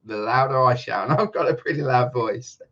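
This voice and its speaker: Chatty man on a muffled call. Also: moderate pace. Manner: rambling, self-correcting, laughs often; gets loud and high when fired up. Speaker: guest. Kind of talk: casual podcast chat.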